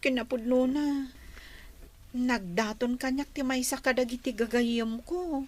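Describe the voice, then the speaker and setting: A woman's voice: sits high, unhurried, a little mellow, dry recording. A woman playing a polite, hesitant young daughter who is being courted, in a radio drama. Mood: hesitant, respectful.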